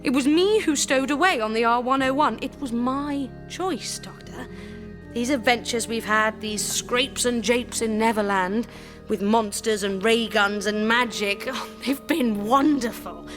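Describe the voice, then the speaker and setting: Woman acting plucky young english heroine, tender and wistful, highish voice, music swelling under it, radio play. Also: unhurried, close to an everyday voice. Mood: wistful, resolute. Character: brave, selfless, wistful young Edwardian adventuress. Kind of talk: audio drama acting. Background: dramatic music.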